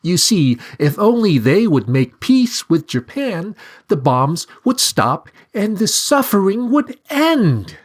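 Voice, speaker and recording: A sly copper bird, as a man puts it on in a story, its voice high, sing-song and swooping well above his own. It coaxes slowly and sweetly, with loud bright lift on its cries. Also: sing-song, full character voice. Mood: coaxing.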